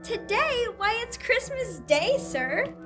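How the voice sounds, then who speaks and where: A woman's voice: very high voice, very animated, slow, a bit bright, faint music behind, exaggerated voice. A young female performer playing a polite street boy in an old-time radio play. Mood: cheerful, surprised.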